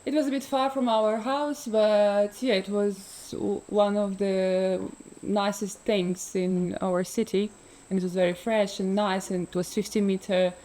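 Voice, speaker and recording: Soft-spoken woman, slow and mellow. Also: mid-range voice, level tone, poor audio. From Ukraine. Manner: soft-spoken, hesitant with many fillers, laughs often. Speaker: freediver guest. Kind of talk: relaxed interview conversation.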